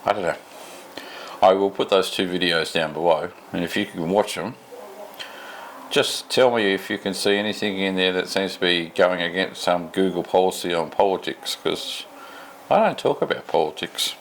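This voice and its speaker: Tired, deep-voiced Australian man vlogging. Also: moderate pace, vocal fry, a little hiss or hum, rough recording. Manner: rambling, laid-back, self-deprecating. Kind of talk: casual unscripted chat to camera. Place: home at night.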